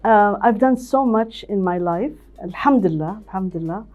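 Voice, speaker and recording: A woman's voice: medium pitch, animated, normal speed, narrow, tinny audio, faint music behind. An older woman from Ethiopia, a company CEO, telling her life story in an interview with many restarts and repeated words. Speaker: CEO and managing director, interview guest.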